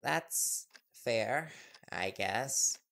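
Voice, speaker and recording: A man's voice: mid-range voice, expressive, very slow, gravelly, pushed and bright, heavily acted. A man playing an earnest, nervous young reporter boyfriend in an intimate audio roleplay, hesitant and deferential. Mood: reflective, hurt.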